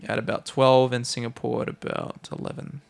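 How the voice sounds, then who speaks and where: A man's voice: mid-range voice, little pitch movement, a little fast, gravelly. A man co-hosting a casual podcast, reading out quiz facts from his notes. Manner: reads facts from notes, laughs easily. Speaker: podcast co-host running a quiz.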